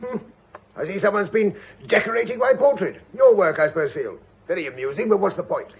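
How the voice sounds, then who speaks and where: A man's voice: sits high, big pitch swings, brisk, gravelly, lo-fi sound, heavily acted. A man playing a sardonic, defiant adventurer and killer in a radio drama, with a theatrical manner.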